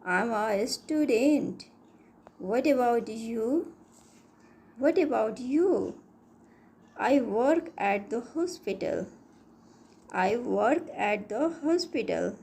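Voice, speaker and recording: Woman, very slow, mellow tone, higher voice, every line said twice, learner drill. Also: expressive. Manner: reads each line twice, slowly and clearly. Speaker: English teacher.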